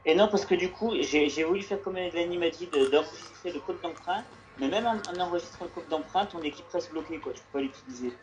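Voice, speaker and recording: A man's voice: a little high, fairly quick. A man chatting casually as the guest player, agreeable and brief, over a lo-fi voice chat. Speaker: player and guest commenting on own matches.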